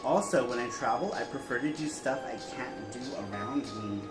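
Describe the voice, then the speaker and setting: A man's voice: mid-range voice, moderate pace, reverberant, over loud music. A man co-hosting a show, chatting casually, laughing often and reading long viewer letters aloud. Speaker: co-host reading viewer answers.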